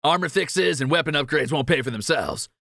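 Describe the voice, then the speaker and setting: A man's voice: on the high side, a little fast, vocal fry, fairly bright tone, dry recording, studio quality. A man playing a cocky, greedy thief in a fantasy audio roleplay, teasing and sarcastic with swaggering banter. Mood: upbeat, bossy.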